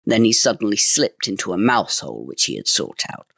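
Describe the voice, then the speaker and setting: A man's voice: on the low side, very animated, a little fast, gravelly, a bit bright, dry recording, clean studio sound. A man reading a fairy tale aloud in a dramatic, old-fashioned storytelling manner.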